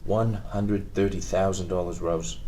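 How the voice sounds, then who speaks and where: Casting: man to play a wealthy, self-satisfied schemer in a TV drama read, very low register, measured, faintly noisy audio. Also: steady tone, warm tone. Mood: smug. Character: smug, cunning, wealthy patrician businessman and husband. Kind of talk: table read of a TV script.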